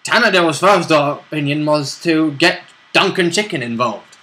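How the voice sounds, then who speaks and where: A man's voice: fairly high pitch, expressive, measured pace, bright, forceful tone. A man who plays in a tabletop campaign, talking it over in casual chat. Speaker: player in the roleplay campaign.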